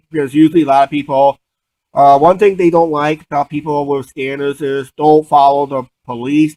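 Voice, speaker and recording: Man, slightly raised pitch, casual storyteller, repeats and restarts phrases. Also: darkish tone, dry recording, low-quality recording. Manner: halting, repetitive, restarts sentences often. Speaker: vlog co-host.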